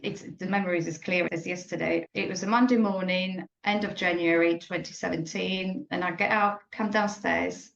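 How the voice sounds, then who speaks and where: A woman's voice: lowish voice, gravelly. Reflective woman from Slovakia, echoey. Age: 40s. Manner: reflective, halting, frequent self-corrections. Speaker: guest. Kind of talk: personal story in an interview. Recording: echoey muffled room.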